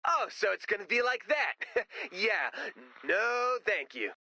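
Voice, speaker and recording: A man's voice: sits high, very animated, slow, creaky, bright, forceful tone, no room sound, dull audio, full character voice. A man voicing a spacey, laid-back rock musician with a rambling, goofy manner in a video game. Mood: skeptical. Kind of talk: video game character dialogue.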